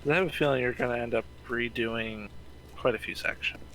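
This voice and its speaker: A man's voice: medium pitch, a little fast, a bit bright, rough recording. Casual male gamer, faint noise. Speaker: gaming co-player. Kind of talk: casual chat.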